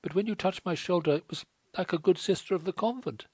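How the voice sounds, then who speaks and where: A man voicing a tearful young French woman who pleads in a rapid, sighing way. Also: high voice, a little mellow, no room sound, pitched above the performer's own voice. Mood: pleading.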